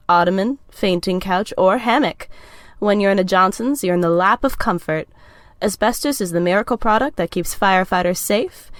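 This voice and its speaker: A woman's voice: medium pitch, dry recording, natural voice. Woman playing a polished, dry-recorded radio sponsor announcer. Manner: salesy, polished. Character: chipper, polished female old-time radio sponsor announcer. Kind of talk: parody 1940s radio advertisement.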